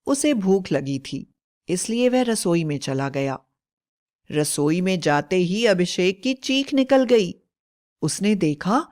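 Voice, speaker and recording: A woman narrating a scripted children's moral story as a storyteller. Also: on the high side.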